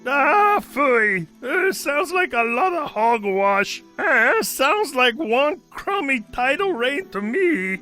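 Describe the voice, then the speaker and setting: Timid, folksy cartoon sidekick, high register, unhurried delivery. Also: very animated, bright, forceful tone, faint music behind, exaggerated voice. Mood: dismissive. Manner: folksy slangy phrasing with some Spanish. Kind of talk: animated cartoon voice acting.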